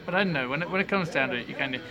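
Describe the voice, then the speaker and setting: A man's voice: on the high side, rapid-fire, over music. A man being interviewed as a band musician, chatting casually in a joking, rambling way. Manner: jokey, rambling.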